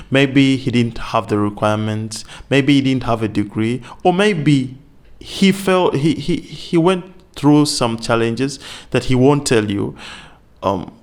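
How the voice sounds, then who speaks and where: Man, from Africa, low voice, earnest, insistent advice vlog. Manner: earnest, insistent, repeats points for emphasis. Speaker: vlogger giving advice on teaching in Vietnam. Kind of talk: direct-to-camera advice talk.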